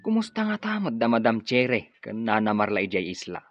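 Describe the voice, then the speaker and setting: A man's voice: medium pitch, big pitch swings, brisk, music in the background, lightly acted. A man playing an obedient, uneasy henchman in a radio drama, deferential and speaking with an Ilocano accent. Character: obedient, uneasy henchman answering to his boss. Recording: dull, dry lo-fi studio sound.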